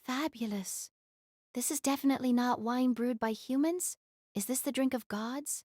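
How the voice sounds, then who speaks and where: A man's voice: extremely high pitch, moderate pace, darkish tone, dry recording, pitched above the performer's own voice. A man acts out a young warrior queen for an audio drama. The voice is lifted well above his own, proud and formal, with a stern edge. The recording is dry. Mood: awed. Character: proud, stern young female knight king. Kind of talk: audio drama character reading.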